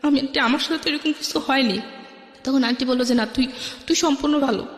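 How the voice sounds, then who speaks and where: A woman's voice: high voice, quick pace. A woman with a Bangladeshi accent telling a personal life story colloquially as a guest, quoting both sides of conversations. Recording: echoey, long reverb.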